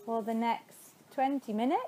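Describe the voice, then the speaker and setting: A woman teaching yoga nidra, guiding a meditation in a soothing, unhurried way with spacious pauses between phrases. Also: a little high, warm tone, a little hiss or hum. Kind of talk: guided meditation.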